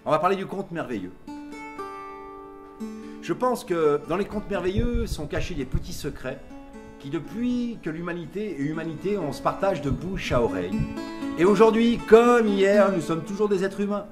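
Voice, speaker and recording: A man who tells stories around the world, speaking in an interview with an expressive, lilting delivery. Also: high-pitched, normal speed, music in the background. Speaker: storyteller.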